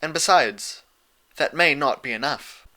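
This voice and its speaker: A man voicing a calm, regal pony princess in a storybook reading, a wise and motherly teacher with a measured, lecturing manner. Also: fairly high pitch, voice pushed up, heavily acted. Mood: patient. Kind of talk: fan fiction audiobook character voice.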